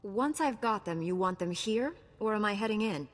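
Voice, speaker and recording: A woman voicing a composed, principled young Jedi knight in a video game, speaking in a measured, formal way. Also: medium pitch, lively intonation, lightly acted. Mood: dry, resolute.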